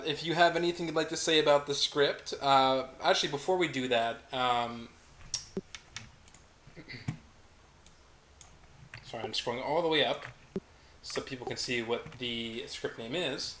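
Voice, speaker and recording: A man's voice: medium pitch, slow pace, pauses often. A man hosting an online script table read, joking and laughing easily between directions. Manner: jokey, laughs easily, clears throat. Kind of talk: casual livestream chat. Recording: roomy home mic with faint noise.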